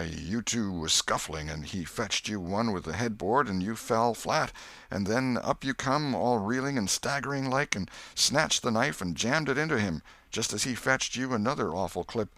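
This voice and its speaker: A man voicing a cold, controlling murderer in an audiobook, with rough, commanding rustic speech. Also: lowish voice, moderate pace, a bit bright, dry recording, voice pushed up. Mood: lying, insistent. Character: stolid, scheming male murderer, cold and controlling.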